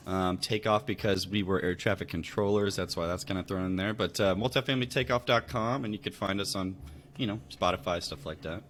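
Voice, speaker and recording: A man's voice: low-pitched, fairly quick, fairly bright tone, a little hiss or hum. An American man speaking in a casual, conversational storytelling style as a podcast guest. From Louisville, Kentucky, United States. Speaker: podcast guest, real estate investor.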